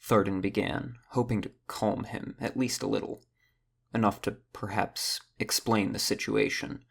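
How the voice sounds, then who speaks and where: A man with a gravelly, husky voice reading scripted narration for a fantasy audio drama. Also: lowish voice, fairly even intonation, measured pace, a bit bright.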